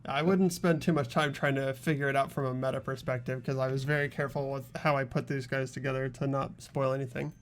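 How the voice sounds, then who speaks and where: A man at a middle pitch, calmly steering a group's game session. He sounds matter-of-fact and organized as he keeps things on schedule. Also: fast talker, few pauses. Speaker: host running the playthrough. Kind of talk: casual chat and reading game lines aloud.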